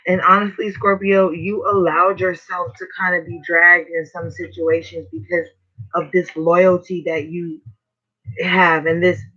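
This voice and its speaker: A man with a hoarse, raspy voice giving a casual, slangy tarot reading as host. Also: sits high, sharp tone. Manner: slangy, chatty, swears casually. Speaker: tarot reader. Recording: dull lo-fi recording.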